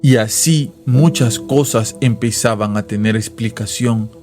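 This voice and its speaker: Slow, solemn male radio drama narrator. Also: on the low side. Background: music under the voice.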